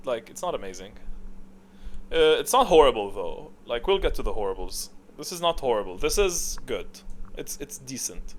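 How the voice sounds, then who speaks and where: A man giving casual, unscripted gaming tier-list commentary in a rambling, opinionated way. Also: mid-range voice. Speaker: video game content creator.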